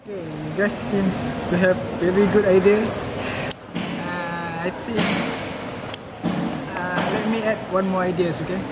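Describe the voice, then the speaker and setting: A man's voice: high voice, steady tone, measured pace. Hesitant Malaysian man in his twenties. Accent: Malaysian English. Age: 20s. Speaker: student. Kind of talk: casual group discussion. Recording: loud background noise, traffic and air conditioning.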